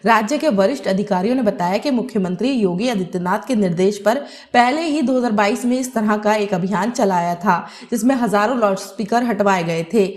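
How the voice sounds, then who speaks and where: A woman's voice: medium pitch, a little fast, few pauses. A woman narrating a scripted news report as a news presenter.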